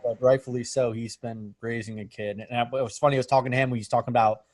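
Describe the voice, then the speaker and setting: A man's voice: medium pitch, fairly even intonation, quick pace, darkish tone, no echo. A man chatting casually on a video call, easygoing and rambling. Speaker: band member hosting a video chat. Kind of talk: casual chat.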